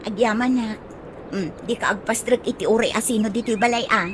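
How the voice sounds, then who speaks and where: A possessive Ilocano sweetheart played by an actress in a radio comedy drama. Her voice sits on the high side, coy and cajoling, with clear background noise and birdsong. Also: a little fast, close to an everyday voice. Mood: sweet, cautioning. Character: jealous, possessive, sweet-talking female partner. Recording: noisy track with chirping birds.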